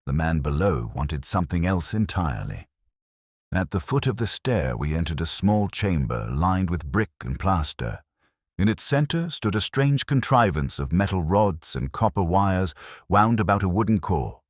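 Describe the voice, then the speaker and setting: A man reading a Victorian detective mystery as a measured, literary first-person story. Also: low-pitched, very animated, no echo, clean studio sound. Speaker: audiobook narrator.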